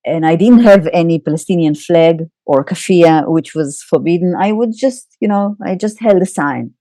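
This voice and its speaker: Low, mellow Israeli-accented woman. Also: no echo. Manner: halting, many "uh"s and restarts, wry laughs. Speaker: interview guest, psychoanalyst. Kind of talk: candid storytelling in an interview.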